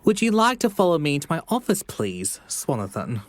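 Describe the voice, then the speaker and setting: Animated man as a measured frog therapist. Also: a little high. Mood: reassuring, professional. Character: calm, professional male frog therapist. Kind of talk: audio drama dialogue.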